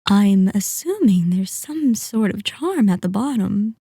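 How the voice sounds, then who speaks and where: A woman's voice: mid-range voice, expressive, a little slow, mellow, breathy edge, dry recording, clean studio sound. A woman voicing a possessive, sadistic vampire in an ASMR roleplay, with a silky, teasing, mock-polite menace. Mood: teasing curiosity. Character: sadistic, possessive female vampire lord toying with captive hunter.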